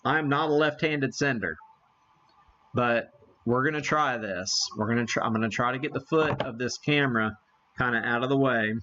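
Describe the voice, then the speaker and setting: A man talks casually as he hosts a hobbyist live stream. His voice sits at an average pitch, and his manner is folksy and self-effacing. The sound is a little muffled and lo-fi. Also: moderate pace, sharp tone. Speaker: live stream host, amateur radio hobbyist. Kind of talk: casual live demonstration chat.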